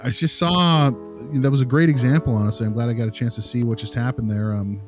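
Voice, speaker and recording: A man's voice: mid-range voice, fairly even intonation, fast talker, lightly acted. A man playing an easygoing behavior consultant in a conversational role-play, casual and reassuring. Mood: friendly, attentive. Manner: casual, reassuring, rephrases what he hears. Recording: music bed under the voice.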